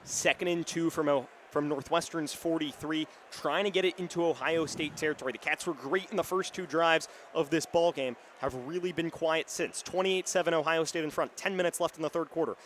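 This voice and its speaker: A man's voice: on the high side, steady tone, brisk, few pauses, fairly bright tone. A young man doing live radio play-by-play commentary of an American football game. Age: young adult. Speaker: radio play-by-play sports announcer. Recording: live stadium booth, clear background noise. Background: stadium crowd and outdoor ambience.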